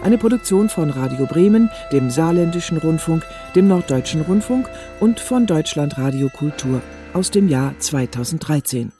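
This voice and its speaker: Guy reading radio play credits, formal, fairly high voice, music playing behind. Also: expressive, normal speed, hardly any pauses, mellow. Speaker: radio drama announcer. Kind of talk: formal scripted credits announcement.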